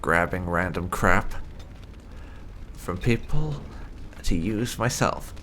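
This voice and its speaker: A man's voice: deep voice, animated, slow, bright, forceful tone, slight background noise. A man giving casual commentary on his playthrough as a gaming channel host.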